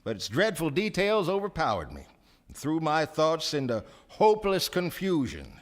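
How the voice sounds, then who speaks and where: Fretful proper gentleman narrator, high voice, super animated, formal old-fashioned radio play storytelling. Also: normal speed. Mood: stunned, uneasy. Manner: florid, formal, melodramatic storytelling. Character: genteel, shaken gentleman narrator, earnest and fretful. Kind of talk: live radio drama narration. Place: theater stage before a live audience.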